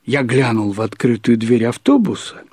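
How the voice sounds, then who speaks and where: A man narrating a first-person literary short story in a deliberate, wryly humorous manner, with measured pauses and repetitions. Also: mid-range voice, lively intonation, darkish tone, husky, no echo, clean studio sound.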